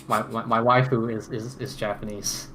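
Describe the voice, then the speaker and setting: A man's voice: fairly low pitch, flat delivery, moderate pace, background noise. A man co-hosting a casual roundtable podcast about gear, talking emphatically with repeated phrases and casual swearing. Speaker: podcast co-host.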